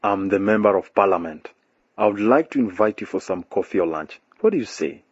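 An actor playing a scheming male politician who offers a bribe, smooth and coaxing in a radio drama. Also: fairly low pitch, animated, fairly quick, dry recording. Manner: smooth, coaxing, then threatening. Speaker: member of parliament. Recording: dull lo-fi radio drama recording.

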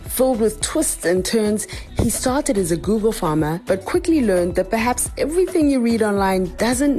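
A woman's voice: mid-range voice, dark tone. A woman hosting a podcast, reading an introduction and then interviewing her guest with lots of encouraging affirmations. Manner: engaged, affirming with frequent backchannel. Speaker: podcast host.

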